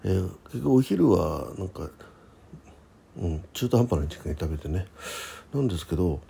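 Low-voiced man with a gravelly edge. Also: very animated, warm tone. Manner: halting, thinking aloud in short polite phrases. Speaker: radio host. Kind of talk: casual solo chat about daily life.

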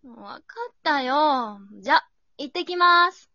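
A woman playing an anxious, bewildered high school girl in an amateur radio drama, thinking aloud in exclamations. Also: expressive, slow pace, pushed and bright, no room sound, lo-fi sound, full character voice. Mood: groggy, confused.

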